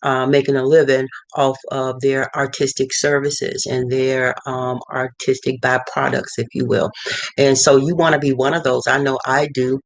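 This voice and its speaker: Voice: medium pitch, little pitch movement, normal speed, no room sound. Rambling middle-aged artist, dry close sound. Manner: rambling, many fillers and restarts. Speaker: visual artist and art consultant. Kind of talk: casual talking-head advice. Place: cluttered home studio.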